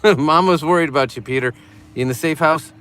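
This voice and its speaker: A man's voice: a little high, fast talker, a bit bright, clean tone. A middle-aged man hosting a casual livestream from a car, reading out viewer comments in a chatty, upbeat way and laughing easily. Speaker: livestream host.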